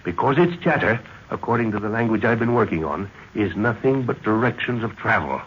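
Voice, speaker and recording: An actor playing a commanding, obsessed older British explorer and scholar, with emphatic, theatrical old-time radio delivery. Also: male voice, lowish voice, animated, fairly quick, a bit bright, slightly rough. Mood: fervent. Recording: noisy, dull old radio recording.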